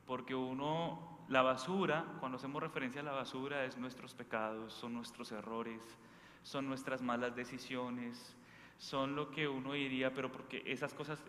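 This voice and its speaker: A man's voice: medium pitch, a bit bright. A man with a Bogotá Colombian accent giving an informal sermon as a priest, conversational and emphatic, often checking in with his listeners through short questions. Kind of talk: informal sermon, preached talk with anecdotes. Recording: echoey hall with faint background noise.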